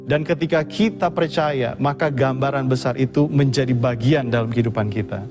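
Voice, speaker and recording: A man's voice: mid-range voice, fairly even intonation, brisk, over music. A pastor preaching a sermon to his congregation, addressing them again and again. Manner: preaching to the congregation, often calls them brothers and sisters.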